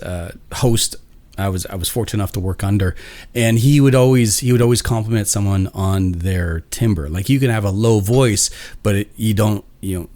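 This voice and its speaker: A man with a somewhat low voice hosts a relaxed interview. He listens with quick affirmations and talks in loose, meandering sentences. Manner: attentive, brief affirmations, rambling compliments. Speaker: podcast host. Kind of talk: casual interview conversation.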